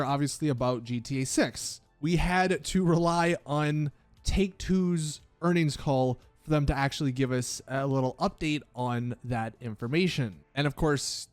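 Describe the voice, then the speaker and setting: A man with a slightly high voice hosts a gaming news video. He explains things casually but with emphasis. The sound is dry and close, with light music underneath. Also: dry recording. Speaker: gaming news YouTuber, host. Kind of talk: casual scripted-and-ad-libbed news commentary.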